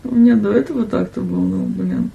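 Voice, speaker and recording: A young woman in casual studio chat as a podcast guest, brash and teasing, swearing freely and quick to raise her voice. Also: mid-range voice, huge pitch swings, gravelly, dark tone, rough recording. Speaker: podcast guest and camera operator.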